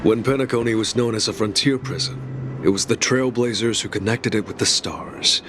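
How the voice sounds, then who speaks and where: Man acting a low, solemn storyteller guide. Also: moderate pace, background noise, close to an everyday voice. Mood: solemn, reverent. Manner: grave, formal, reverent. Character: solemn, dignified male guide recounting fallen heroes. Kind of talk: video game storytelling dialogue. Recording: music behind the voice.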